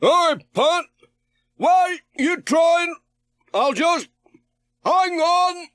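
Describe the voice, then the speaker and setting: A man voicing a brisk, confident, hearty amateur sailor who gives bossy instructions in a children's audiobook. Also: very high-pitched, no room sound, pitched above the performer's own voice, exaggerated voice. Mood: shouting. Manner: confident, instructing, calling out loudly.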